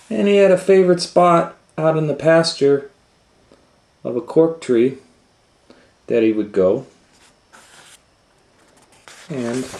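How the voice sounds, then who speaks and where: A man's voice: medium pitch, a little mellow. A man reading a children's story aloud in a conversational way, explaining things and putting questions to his listeners as he goes. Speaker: storytime reader and educator. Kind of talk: animated children's read-aloud with asides. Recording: echoey room, faint noise.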